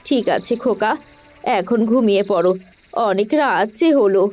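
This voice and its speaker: A woman's voice: medium pitch, moderate pace, highs cut off, lightly acted. A woman voicing a caring, worried village mother in a cartoon story. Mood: tender. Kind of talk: Bengali cartoon moral-story voice acting. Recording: music behind the voice.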